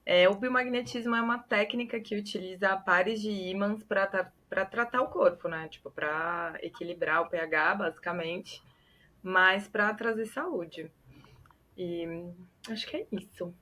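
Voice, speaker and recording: A woman from São Paulo, Brazil, introducing herself as a podcast guest in a soft, slightly shy way. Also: mid-range voice, unhurried. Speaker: podcast guest, biomagnetism practitioner. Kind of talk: casual podcast chat.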